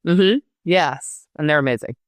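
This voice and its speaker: Smooth, low-voiced woman from Israel. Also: no echo, studio quality. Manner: measured, with frequent fillers. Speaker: real estate agent, guest. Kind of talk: casual interview answers.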